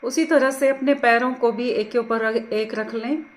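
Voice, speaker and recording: A woman leading a guided meditation, giving soothing, gentle, unhurried instructions. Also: a little high, steady tone. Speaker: meditation teacher.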